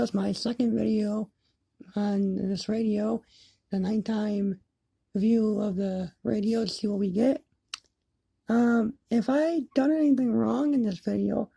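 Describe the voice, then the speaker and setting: A woman with a low-lit, mellow voice and a lot of fry in it talks casually to her viewers. She is plain-spoken and halting, often circling back over what she said. The sound is close and dry. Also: no room sound, low-quality recording. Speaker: hobbyist video maker. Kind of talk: casual chat to camera. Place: indoors in an apartment, by a window.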